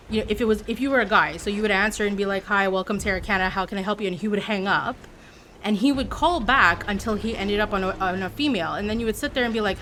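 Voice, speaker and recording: A woman in her thirties chatting with her stream, an animated storyteller at a middle pitch. There is a faint hiss of noise behind her voice. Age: 30s. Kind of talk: casual chat with live chat.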